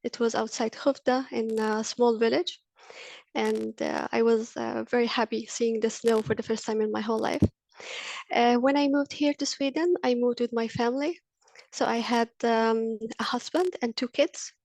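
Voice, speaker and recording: Egyptian-born woman lecturer, earnest webinar talk, lots of ums, bit high, dry close sound. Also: fairly even intonation, moderate pace, gravelly, darkish tone, dry recording. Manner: earnest, with frequent fillers. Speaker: university lecturer. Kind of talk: webinar presentation. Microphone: video call.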